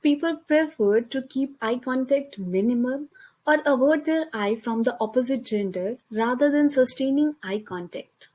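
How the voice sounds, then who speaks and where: A young woman with an Indian accent, speaking English as her second language, presents a class talk over slides. Her voice sits a little high, and she reads carefully, restarting now and then on a word. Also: normal speed, darkish tone. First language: Hindi. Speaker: student presenter. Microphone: computer mic, voice-over on slides.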